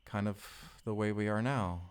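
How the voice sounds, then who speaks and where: A man's voice: on the low side, normal speed, clear, resonant tone, lightly acted. A man playing a tender, boyish husband from the future in an intimate, bashful, rambling romantic audio roleplay. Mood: tender, nostalgic. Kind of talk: romantic audio roleplay acting, addressed to listener.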